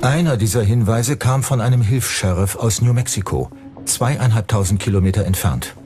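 A man's voice: fairly low pitch, lively intonation, moderate pace, dark tone, soft background music. A man reading scripted true-crime documentary narration as the narrator.

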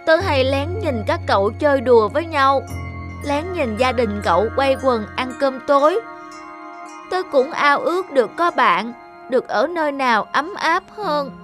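A woman voicing a lonely, misunderstood young goblin in a cartoon, speaking slowly and plaintively. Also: high-pitched, lively intonation, a bit bright, faint music behind. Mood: sad, wistful. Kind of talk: Vietnamese cartoon voice acting.

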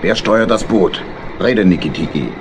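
An actor playing a fussy, avuncular badger boat captain who mutters and repeats himself in a children's radio play. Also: male voice, sits low, normal speed, close to an everyday voice. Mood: alarmed, indignant. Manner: hesitant, muttering, repeats words. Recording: noisy storm and engine ambience.

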